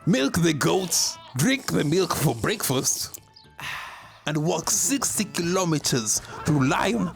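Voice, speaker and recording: A man voicing a boastful parent who reminisces about childhood hardship, with emphatic, drawn-out exaggeration in a comic story. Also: a little high, very animated, vocal fry, faint music behind, voice pushed up, full character voice.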